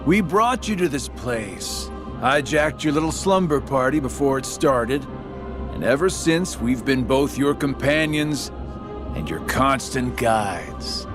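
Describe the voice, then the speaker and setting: A taunting middle-aged game villain who toys with his listener in a sardonic drawl. His delivery is slow and theatrical, swinging widely in pitch. Game soundtrack music plays behind him. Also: animated. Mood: smug, teasing. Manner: sardonic drawl, mockingly playful. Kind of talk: theatrical video game cutscene acting.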